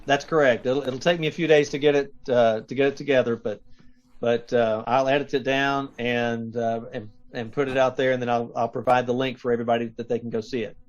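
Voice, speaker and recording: A man chatting casually and haltingly, repeating words as he explains. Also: medium pitch, fairly even intonation. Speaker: troupe member, video editor. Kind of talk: casual chat. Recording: dull lo-fi video-call audio.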